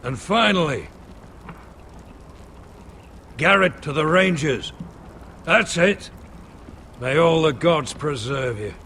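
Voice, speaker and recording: An actor playing a solemn, commanding officer who formally addresses recruits in a fantasy video game. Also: male voice, a little high, lively intonation, sharp tone, slight background noise, full character voice. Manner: formal, measured, declamatory.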